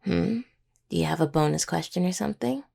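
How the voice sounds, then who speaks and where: A young woman playing a caring, teasing necromancer healer in an intimate audio roleplay, with a husky voice and conversational delivery. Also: on the low side, fairly quick, warm tone, exaggerated voice. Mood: playful teasing. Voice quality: husky, gravelly. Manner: hesitant, teasing, full of pet names.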